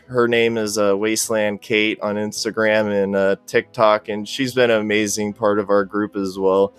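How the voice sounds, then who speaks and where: A man's voice: on the low side, clean tone, no room sound. A man speaking as a podcast guest in casual, easygoing, appreciative conversation. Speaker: podcast guest, cosplay group organizer. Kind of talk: casual interview chat.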